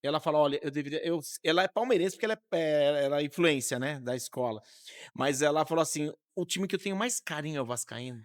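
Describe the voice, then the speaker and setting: A man from São Paulo state hosts a studio interview in a fairly high voice. He is chatty and friendly, with plenty of questions. The sound is dry and close. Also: fast, dry recording. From São Paulo state, Brazil. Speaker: podcast host, interviewer. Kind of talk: casual interview conversation.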